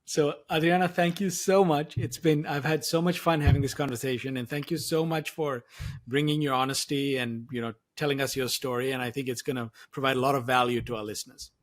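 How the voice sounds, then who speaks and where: A man hosting an interview podcast, speaking in measured, clearly phrased sentences. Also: medium pitch, fairly even intonation. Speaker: podcast host. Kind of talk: interview hosting and scripted takeaways narration.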